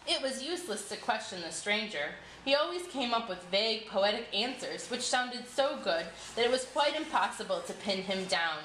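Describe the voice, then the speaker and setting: A woman's voice: a little high, animated, moderate pace, few pauses, fairly bright tone. A woman narrating a folktale from a script, reading it aloud as a storyteller. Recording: echoey room with clear background noise.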